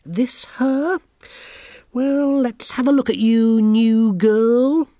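A woman's voice: a little high, takes it slowly, warm tone, no room sound, voice pushed up. A woman voicing a haughty, bossy teenage girl witch who talks down to everyone in a sneering, imperious way.

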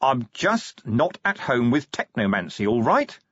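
A man playing a gruff, weary middle-aged watch commander who speaks bluntly and grumbles. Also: mid-range voice, sing-song, a little slow, a bit bright, no room sound, exaggerated voice. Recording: dry, slightly dull recording.

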